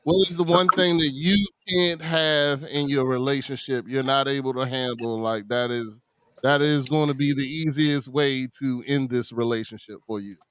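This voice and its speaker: A man's voice: mid-range voice, fairly even intonation, normal speed, fairly bright tone, slight background noise. A man hosting a casual live panel talk show, passing questions around informally. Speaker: host moderating a panel.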